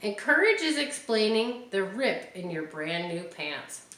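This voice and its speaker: A woman reading a picture book aloud to children, stopping often for gentle, chatty asides. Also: medium pitch, big pitch swings, measured pace. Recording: echoey room with faint hiss.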